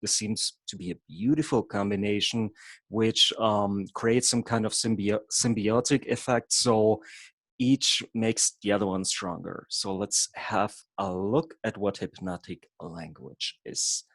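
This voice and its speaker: A man's voice: on the low side, steady tone, a little slow, a bit bright, no echo. A man from Germany with a German accent, a hypnotherapist presenting an online workshop in an explanatory way, with occasional stumbles over words. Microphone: video call.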